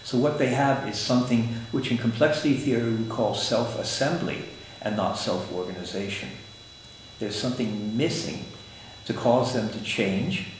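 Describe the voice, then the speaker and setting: An older man, Swiss-born and raised in the United States, answering interview questions in a measured, explanatory way with some dry humor, in an office. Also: mid-range voice, slight background noise. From Switzerland, raised in the United States. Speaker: interview guest, agile consultant. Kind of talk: conference interview. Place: office room, indoors. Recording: echoey room with faint ambience.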